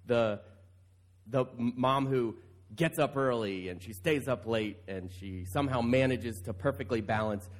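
A man's voice: medium pitch, a little slow. A man, a pastor, preaching a conversational sermon in an informal way and repeating phrases for emphasis. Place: church service, speaking to congregation.

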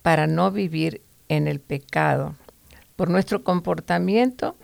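A woman gives an earnest Bible teaching, stopping often to restart a phrase. Her voice is low and gravelly. The sound is close and dry. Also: unhurried, darkish tone, no room sound. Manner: earnest, preachy, with fillers and restarts.

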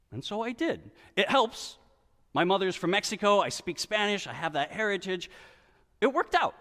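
A male pastor delivers a storytelling sermon in a high voice that swings widely up and down. His manner is conversational and animated, slipping into quoted dialogue as he goes. The sound carries the long reverb of a hall. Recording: reverberant hall, church-like echo.

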